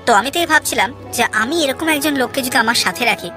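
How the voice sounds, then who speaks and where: Wealthy, generous merchant for a storybook tale, acted by a woman: confident, friendly, high-pitched, over clear music. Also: animated, a little fast, sharp tone. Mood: generous, assured. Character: grateful, generous wealthy city businessman. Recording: music behind the voice.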